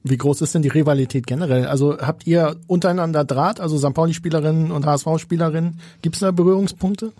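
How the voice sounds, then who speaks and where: A man's voice: a little high, quick pace, few pauses, dark tone, no room sound. A man hosting a casual podcast, teasing and joking with the guests. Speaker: podcast host.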